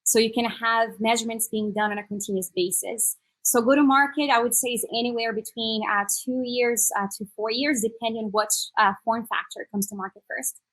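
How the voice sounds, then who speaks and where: A woman's voice: a little high, steady tone, a little fast, hardly any pauses, fairly bright tone, poor audio. A woman in her thirties from Brazil with a Brazilian Portuguese accent, a native Portuguese speaker, explaining her startup in an upbeat interview with many "uh" fillers. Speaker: startup executive guest. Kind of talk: conversational interview on a panel.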